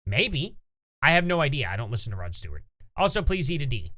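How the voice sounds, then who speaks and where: A man's voice: medium pitch, big pitch swings, fairly quick, pushed and bright. A man hosting a casual reaction commentary, opinionated and rambling as he reads posts aloud. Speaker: commentary channel host.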